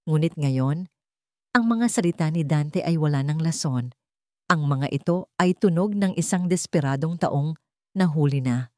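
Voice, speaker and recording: A woman with a low voice narrates a scripted, dramatic story. The recording is dry and close, with very little room sound. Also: lively intonation, unhurried, warm tone, no room sound.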